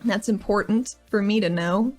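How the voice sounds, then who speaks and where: A woman's voice: medium pitch, normal speed, a little mellow, soft background music. A woman playing her character in a tabletop game, speaking in a casual, rambling way as she recaps events. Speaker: player.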